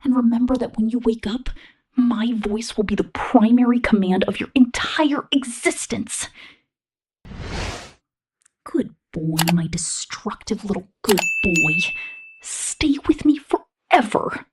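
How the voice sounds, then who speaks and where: A woman voicing a possessive, scheming robot rebel in an ASMR roleplay, with a whispery, slow, domineering murmur. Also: a little high, darkish tone. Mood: possessive affection. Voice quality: breathy, whispery.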